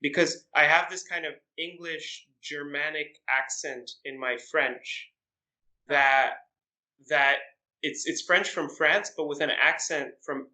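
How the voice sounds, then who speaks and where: A young man as a podcast guest, telling stories casually with frequent pauses and restarts. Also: on the high side, poor audio. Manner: relaxed storytelling, hesitant with "uh" and restarts. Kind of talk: casual chat.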